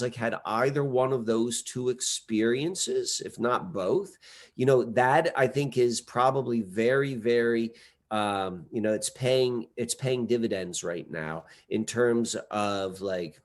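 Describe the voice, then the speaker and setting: A man's voice: mid-range voice, unhurried. A man in his forties, a podcast guest, talking casually in a rambling way with many fillers and restarts. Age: 40s. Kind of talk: casual conversation.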